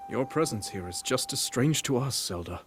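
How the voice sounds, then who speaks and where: A calm, dignified ancient king. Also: medium pitch. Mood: measured. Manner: measured, formal. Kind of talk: video game cutscene voice acting. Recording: faint music behind.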